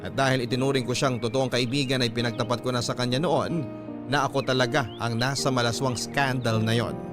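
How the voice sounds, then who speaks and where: A man's voice: mid-range voice, fairly even intonation, a little fast. A man narrating a scripted first-person story as a radio host reading a listener's letter. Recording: music bed behind the voice.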